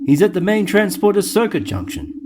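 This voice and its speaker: A man's voice: high-pitched, big pitch swings, fairly quick, noisy background. An actor playing an earnest, dutiful male junior officer on a starship in an audio drama, formal and deferential. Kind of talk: audio comic sci-fi drama acting.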